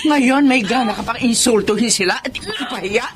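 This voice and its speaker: A woman's voice: on the high side, normal speed, natural voice. A woman playing a hard-working, fiercely protective middle-aged mother in a Tagalog radio drama. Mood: furious, threatening.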